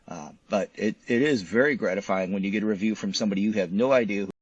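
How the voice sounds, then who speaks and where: A man speaking as an interview guest in casual conversation, explaining details in an animated, colloquial way. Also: lowish voice, quick pace, slightly rough, no echo, muffled recording. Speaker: guest, physician and novelist.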